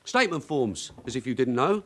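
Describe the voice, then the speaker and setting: A shrewd veteran London police sergeant, with a mid-pitched voice that moves around a lot. He is blunt and sardonic and takes command of the questioning. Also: big pitch swings, a little fast, a bit bright, a little hiss or hum. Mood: sardonic, needling. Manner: blunt, sardonic, authoritative interrogator. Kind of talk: naturalistic radio drama acting.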